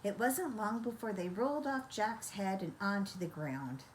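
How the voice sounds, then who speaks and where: An older woman storyteller reading a children's bedtime story in a gentle, expressive, grandmotherly manner. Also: mid-range voice, moderate pace, slight background noise.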